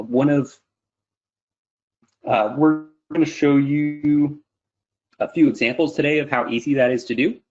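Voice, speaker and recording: A man in his thirties giving an explanatory webinar presentation in an informal way. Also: medium pitch. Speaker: presenter, company CEO. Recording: dull lo-fi webinar audio.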